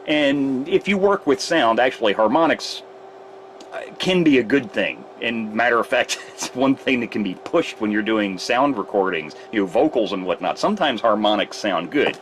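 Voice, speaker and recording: A man's voice: mid-range voice, lively intonation, normal speed, a little hiss or hum, rough recording. A man who repairs radios, casually explaining his test setup step by step in a workshop. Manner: rambling, explains gear step by step. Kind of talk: casual technical demonstration. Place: repair shop workbench with test gear.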